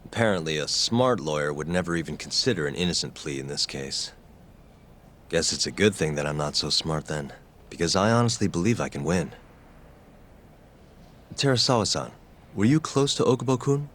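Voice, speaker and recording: An actor playing an earnest, determined young lawyer in a video game. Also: male voice, extremely low pitch, expressive, moderate pace, pauses often, fairly bright tone, slight background noise.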